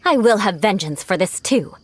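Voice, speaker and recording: A woman's voice: mid-range voice, lively intonation, moderate pace, very noisy, close to an everyday voice. A woman playing a haughty, prickly young noblewoman swordfighter, formal and theatrical, in anime game style. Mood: indignant. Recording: music under the voice.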